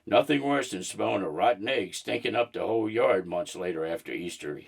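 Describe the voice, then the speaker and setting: A man's voice: lowish voice, lively intonation, fairly quick, creaky, husky, full character voice. A man voicing a jovial father in a children's storybook, speaking like he is making announcements. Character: jovial dad running an egg hunt. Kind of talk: storybook read-aloud.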